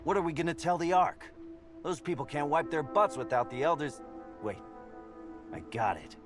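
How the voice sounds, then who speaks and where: A man's voice: a little high, expressive, moderate pace, close to an everyday voice. An actor playing a brash, crude male mutant stalker in a game, with a sarcastic, swaggering delivery. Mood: sardonic. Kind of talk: video game character acting. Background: music.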